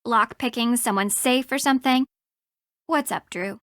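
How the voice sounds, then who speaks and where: An actress playing a brash, teasing young friend of a detective in a mystery game, with a wry, breezy manner. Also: female voice, fairly high pitch, moderate pace, no echo, clean studio sound, lightly acted. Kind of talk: scripted mystery game dialogue.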